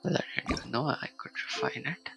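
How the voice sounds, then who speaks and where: A man casually commentating a solo game live stream, muttering to himself and reading item text aloud in a halting way. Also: bright, forceful tone, faint music behind.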